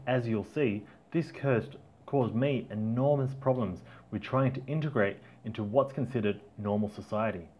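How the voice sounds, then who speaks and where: A middle-aged Australian man reads his own story aloud at a mid-range pitch. His telling is plain and reflective, and he sometimes trips over a word and restarts it. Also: lo-fi sound, slight background noise. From Australia (Tasmania). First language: Auslan. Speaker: author narrating his own book. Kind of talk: audiobook narration.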